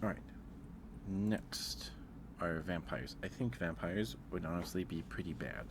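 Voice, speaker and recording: Male ASMR streamer, deep-voiced and slow, rambling informally, with clearly audible ambient noise behind him. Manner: hesitant, rambling, repeats phrases. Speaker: livestream host. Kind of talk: casual ASMR livestream chat.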